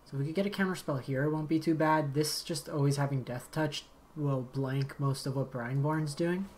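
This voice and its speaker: A man, slightly raspy from a long day of talking, calmly commentating his card game as he plays, thinking aloud and muttering asides. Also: a little high, brisk, a little hiss or hum. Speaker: game streamer. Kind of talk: casual gameplay commentary. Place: home streaming setup.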